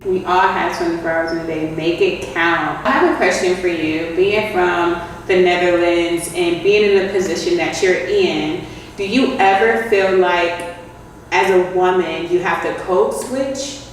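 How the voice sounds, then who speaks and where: A woman's voice: on the low side, a little slow. A woman hosting a casual interview chat, heard from away from the microphone in an echoing space. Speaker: host, interviewer. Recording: echoey, distant, noisy room sound.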